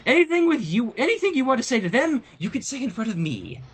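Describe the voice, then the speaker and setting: A man's voice: very high-pitched, very animated, quick pace, breathy edge, voice pushed up, exaggerated voice. A man voicing a vain, indignant young female pony who is haughty, demanding and melodramatic in a storybook reading. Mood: haughty, petulant.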